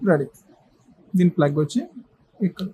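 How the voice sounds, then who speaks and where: Higher-voiced man giving a mellow tutorial. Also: normal speed, breathy edge. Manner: step-by-step explaining with short instructional phrases. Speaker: presenter of a how-to video. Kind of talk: casual hands-on tutorial.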